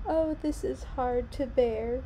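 A heartbroken storybook gardener played by a woman laments in a high, plaintive voice. The words are dragged out slowly and mournfully, over some background noise. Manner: plaintive, drawn-out wailing. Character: heartbroken gardener lamenting his ruined garden, adult man. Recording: noticeable background noise, home recording.

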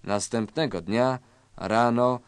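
A man's voice: mid-range voice, slow pace, smooth, dry recording. Dry-sounding male story narrator. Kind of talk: scripted dramatic narration of a satirical story.

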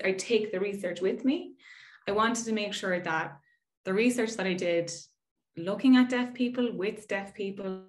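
A woman in her thirties from Ireland with an Irish accent, giving a friendly, chatty research talk over a video call with frequent fillers. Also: mid-range voice. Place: indoor room at home.

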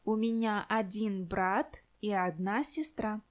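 A woman giving slow, careful scripted example answers in a language lesson. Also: mid-range voice, steady tone.

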